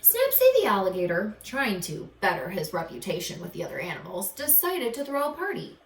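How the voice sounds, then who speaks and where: A woman narrating a children's storybook in an expressive, theatrical way, drawing out the suspense. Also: mid-range voice, brisk. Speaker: storybook reader. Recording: echoey room, distant from mic.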